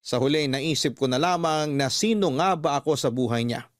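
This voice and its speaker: A man's voice: on the high side, normal speed, no echo, clean studio sound. A man with a Filipino accent reading a scripted first-person story as a radio storyteller.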